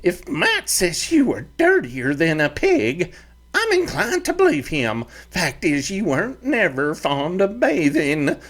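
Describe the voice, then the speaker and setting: A man voicing a small, fiery-tempered ranch mother who scolds and bosses her grown son, with a sharp, high put-on voice. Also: wildly swooping pitch, measured pace, exaggerated voice. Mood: scolding.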